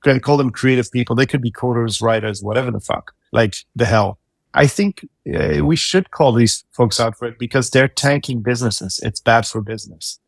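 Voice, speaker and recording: Non-native English-speaking male co-host, emphatic, mid-pitch, dry recording. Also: normal speed, vocal fry, no echo. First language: not English. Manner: emphatic, swears freely. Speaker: podcast co-host. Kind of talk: casual panel chat.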